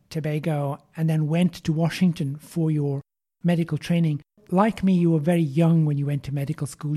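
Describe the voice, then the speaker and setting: A man hosting a podcast, interviewing a guest in formal, deliberate phrasing and a measured conversational style. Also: a little high, mellow.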